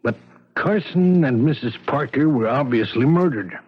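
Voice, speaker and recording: A modest police detective from 1970s radio drama. His voice is husky and middle-pitched, and he lays things out plainly and casually. The broadcast recording sounds dull and aged. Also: lively intonation, moderate pace. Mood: matter-of-fact. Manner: casual, matter-of-fact explaining.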